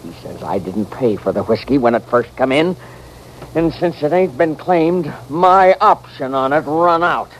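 An actor playing a hot-tempered, put-upon American saloon keeper in an old-time radio western, blustering in a folksy, exasperated drawl. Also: male voice, fairly high pitch, animated, a little slow, rough recording. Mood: aggrieved. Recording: vintage radio recording with hiss.

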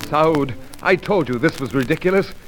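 A man's voice: on the high side, expressive, moderate pace, music in the background, natural voice. A man playing a skeptical, bewildered foreign guest in a 1940s radio drama, speaking in a formal, exclamatory way. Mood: skeptical, baffled. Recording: noisy old radio recording.

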